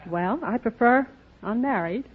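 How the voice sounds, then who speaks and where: A woman's voice: a little high, animated, a little slow, slightly rough. A woman from Texas answering as a quiz contestant, polite and a little coy. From Texas, United States. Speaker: game show contestant. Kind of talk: guarded interview answers. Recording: tinny, noisy 1940s radio recording.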